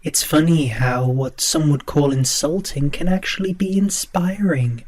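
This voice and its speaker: A man's voice: on the high side, a little slow, darkish tone. A man playing a sinister, courtly host of a ghostly horror radio show, speaking in a grandiose, formally polite way. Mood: ominous, grandiose. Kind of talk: theatrical horror radio acting.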